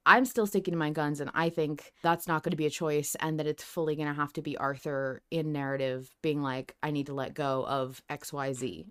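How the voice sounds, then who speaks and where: A woman hosting a casual fan podcast, talking in an excitable, emphatic, sweary way. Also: deep voice, fairly quick, hardly any pauses, clean tone. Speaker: podcast host.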